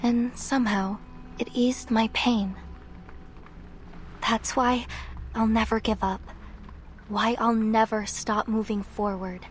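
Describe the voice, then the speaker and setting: A woman voicing a determined, wistful young explorer in a video game, speaking in a measured, reflective and earnest way. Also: a little high, steady tone, slight background noise. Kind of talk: scripted game character voice lines. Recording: music behind the voice.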